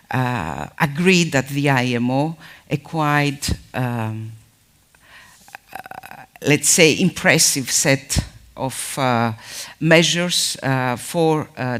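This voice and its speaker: Slow, measured man giving a welcome speech. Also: mid-range voice, a bit bright. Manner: measured, hesitant with many fillers. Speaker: conference speaker. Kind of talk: formal welcome address.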